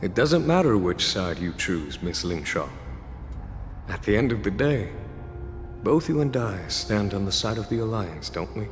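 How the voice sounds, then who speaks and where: A shrewd military general from a fantasy game. His voice is very low and his delivery measured, polished and formal. Long reverb trails his words, with music playing behind. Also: very animated. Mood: calm, probing. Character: composed, shrewd male general of the Alliance. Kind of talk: video game voice acting. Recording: long reverb under loud background music.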